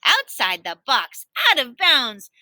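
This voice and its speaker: A woman voicing an exuberant male celebrity TV chef in a storybook, raving about food in drawn-out exclamations. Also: big pitch swings, sharp tone, no echo, heavily acted. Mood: excited raving. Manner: booming catchphrases, drawn-out exclamations.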